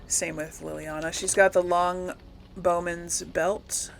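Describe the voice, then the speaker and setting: A woman's voice: lowish voice, little pitch movement, slow, gravelly, a little hiss or hum. A woman hosting a gaming video, giving casual, rambling commentary as she thinks aloud and corrects herself.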